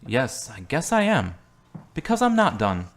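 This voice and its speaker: A man playing a wounded, guarded young man who speaks in a flat, terse way. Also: mid-range voice, a little slow, vocal fry, heavily acted.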